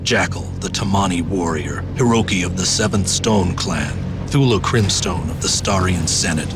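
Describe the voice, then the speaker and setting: Deep grim male cyborg racer, bitter haunted war vet out for revenge, video game cutscene narration, loud music behind. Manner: grim, brooding, deliberate delivery.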